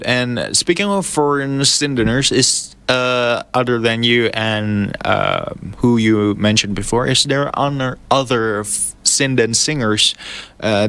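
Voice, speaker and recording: A man interviewing a guest for a radio station, who asks questions in a stumbling, friendly way and backs the guest up with quiet "I see" remarks. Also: lowish voice, clean tone. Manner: soft backchannel "I see" and "mm", gentle laughs, stumbling questions.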